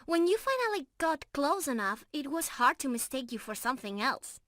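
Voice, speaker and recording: A woman's voice: sits high, sing-song, moderate pace, a bit bright, no room sound. A woman playing an affectionate, playful young mermaid in love, talking tenderly and giggly to the listener in an ASMR roleplay. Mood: tender joy. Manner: sweet, giggly, tender, addressing the listener directly. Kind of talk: ASMR roleplay, scripted intimate acting.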